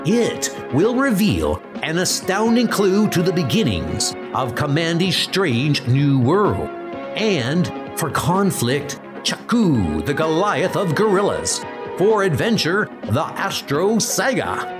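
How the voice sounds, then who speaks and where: A man's voice: fairly high pitch, big pitch swings, slow. A man narrating a comic adventure in a dramatic, scripted style. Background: music.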